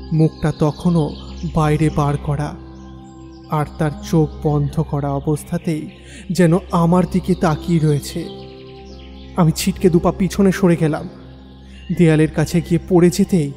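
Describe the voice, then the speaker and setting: A man acts a troubled young man telling his eerie tale in the first person. His voice is a little high and mellow, delivered dramatically in a long reverb over a faint music bed. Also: lightly acted. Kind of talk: dramatic first-person horror story narration.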